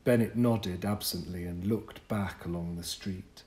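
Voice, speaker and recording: A man reading a literary short story as an audiobook, with measured, deliberate phrasing and pauses. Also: sits low, steady tone, a little mellow. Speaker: audiobook narrator. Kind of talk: scripted literary story narration.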